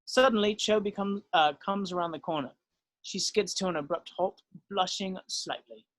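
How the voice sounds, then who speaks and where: A man with a fairly high voice reads stage directions as narrator at a script table read. The delivery is scripted narration, setting out scenes and actions. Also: moderate pace, fairly bright tone.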